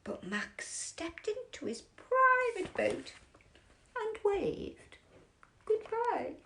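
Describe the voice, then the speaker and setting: A woman reading a children's picture book as a bedtime storyteller, theatrical and drawn out, often dropping into breathy whispers. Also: very high-pitched, big pitch swings, extremely slow, pushed and bright, a little hiss or hum.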